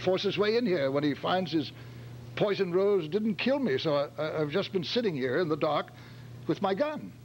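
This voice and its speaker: An older man playing a nervous, flustered gentleman in a radio play, stammering and repeating his words. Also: sits high, expressive, normal speed, low-quality recording. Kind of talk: radio play read from script. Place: function room, live staged reading. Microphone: stand microphone. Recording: faint noise and hum, old amateur tape.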